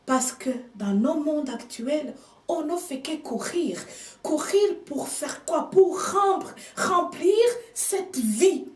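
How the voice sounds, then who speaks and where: A woman whose first language is not French, preaching a sermon with slow, emphatic delivery and hushed asides. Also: high voice, lively intonation, recorded from a distance. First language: another language than French. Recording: echoey room, speaker off mic.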